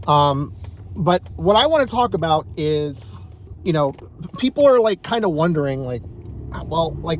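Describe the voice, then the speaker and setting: An American man talks through his opinions in a conversational, stop-and-start way. His voice sits a little high and moves around a lot in pitch. There is clear ambient noise behind him, like a recording made outside or around vehicles. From New York state, United States. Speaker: online commentator. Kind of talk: casual opinion monologue.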